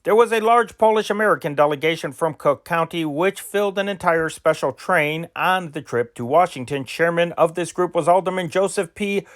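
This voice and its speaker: A man reads archival newspaper stories aloud in a steady, emphatic cadence. His voice sits a bit higher than most men's, and he sometimes trips over a word and restarts. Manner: measured, emphatic reading with occasional stumbles and restarts. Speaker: news reader.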